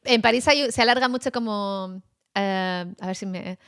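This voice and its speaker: A woman's voice: mid-range voice, normal speed, fairly bright tone, clean tone, no echo, clean studio sound. A woman guest from Spain chatting casually and animatedly, laughing often and trying out other accents. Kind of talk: casual conversation.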